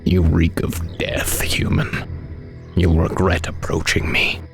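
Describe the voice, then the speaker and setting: An actor playing a proud, embittered elf demon hunter in a fantasy video game, brooding, scornful and theatrical. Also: male voice, sits low, monotone, takes it slowly, creaky, in a whisper, soft background music, exaggerated voice. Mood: scornful defiance.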